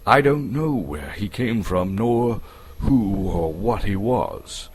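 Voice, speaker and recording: A man gives a dramatic, suspenseful storybook narration, theatrical and full of emphatic pauses. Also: fairly low pitch, lively intonation, a little hiss or hum. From Canada. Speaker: actor reading an audiobook.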